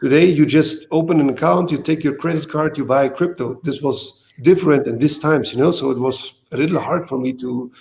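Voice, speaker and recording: German-accented male guest, grainy voice, non-native speaker, halting and repetitive. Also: fairly high pitch, vocal fry, darkish tone. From East Germany. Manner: hesitant, repeats words, non-native phrasing. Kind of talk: casual interview talk. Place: video call.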